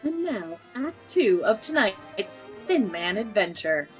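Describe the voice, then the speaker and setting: Casting: actress for vintage radio serial narrator, very lively presentational delivery, medium pitch, music bed. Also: female voice, takes it slowly, rough recording. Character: radio play narrator introducing an adventure. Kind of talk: old-time radio announcer narration.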